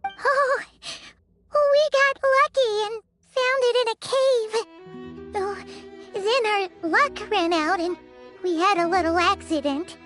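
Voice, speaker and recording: Tiny excitable game mascot: extremely high, squeaky childlike voice, drawn-out cheery delivery, music underneath. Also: female voice, full character voice. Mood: sheepish. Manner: refers to self in third person, exclamatory. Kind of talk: video game voice acting.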